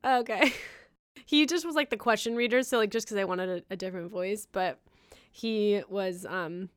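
This is a chatty woman hosting a podcast, talking casually with her guests and laughing often. Her voice sits at a middle pitch, and the recording is dry and close with no room sound. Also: normal speed, clean tone, dry recording. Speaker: podcast host.

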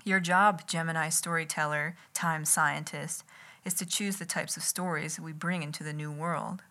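Bright-voiced woman reading in ASMR style. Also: low voice, fairly even intonation, normal speed. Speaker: writer reading own missive aloud. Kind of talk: ASMR read-along. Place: home kitchen. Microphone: close enough to catch breath and lips.

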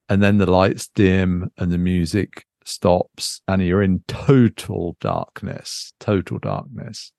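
British man with a creaky, gravelly voice. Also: low-pitched, darkish tone, no room sound, clean studio sound. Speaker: podcast co-host. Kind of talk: casual unscripted chat.

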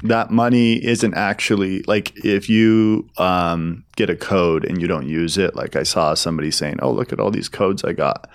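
A man giving explanatory answers as a business guest in an interview, in a halting way with repeated and restarted phrases. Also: low-pitched. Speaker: interview guest, film studio executive.

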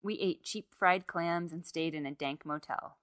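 A woman's voice: low-pitched, unhurried, a bit bright, no room sound, clean studio sound. A woman reading a literary short story aloud as scripted narration.

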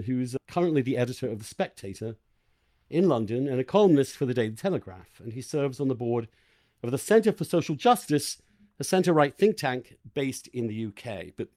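A man's voice: medium pitch, mellow. A man hosting a conversational interview podcast, speaking in an enthusiastic, emphatic and informal way. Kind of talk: conversational interview with a spoken introduction.

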